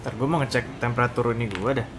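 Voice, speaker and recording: A man's voice: mid-range voice, steady tone, very fast, background noise. A man giving casual, slangy gameplay commentary. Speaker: gamer. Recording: gameplay commentary over clear game music. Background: game music.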